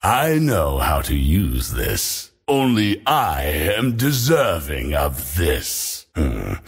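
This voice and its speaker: An actor playing an arrogant, bloodthirsty demonic male sorcerer in a video game, with a slow, threatening, boastful delivery. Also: full character voice. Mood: menacing. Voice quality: deep gravelly menacing growl.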